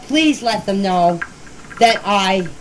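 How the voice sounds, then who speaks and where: A woman's voice: mid-range voice, expressive, takes it slowly, over loud music. A woman in her fifties giving informal personal voice messages in a plainspoken, earnest, emphatic way, repeating herself for stress. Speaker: community member speaking about a case. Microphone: phone voice message.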